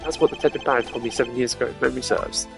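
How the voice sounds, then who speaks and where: Guy doing a stiff proud prosecutor from a video game, formal wording, fairly quick, game music under it. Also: medium pitch, gravelly, natural voice. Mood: grave, composed. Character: stern, formal, proud male prosecutor in his twenties. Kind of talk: voiced reading of video game dialogue.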